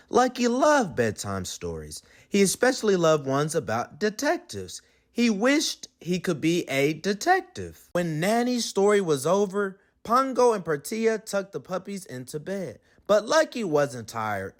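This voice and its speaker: A man reading a children's storybook aloud as host, in an expressive, dramatic storytelling manner. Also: high voice.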